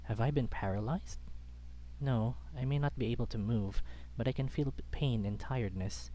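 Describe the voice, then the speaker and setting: A man's voice: mid-range voice, normal speed, warm tone, slight background noise, low-quality recording. A man reading a first-person story aloud as a dramatic narrator. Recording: background music under the voice. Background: music.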